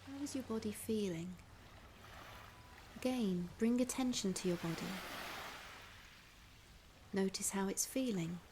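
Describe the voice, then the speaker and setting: Woman, guided meditation narrator, soft breathy voice, soothing, unhurried, medium pitch, faint background noise. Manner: soft, soothing, gently instructive; unhurried, with long pauses between phrases. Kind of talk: scripted guided imagery meditation. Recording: clear background ambience noise.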